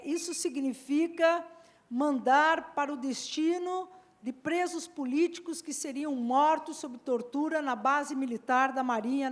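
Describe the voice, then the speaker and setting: High-voiced woman giving a law lecture. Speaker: law professor and panel speaker. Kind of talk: academic conference talk. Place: seminar hall.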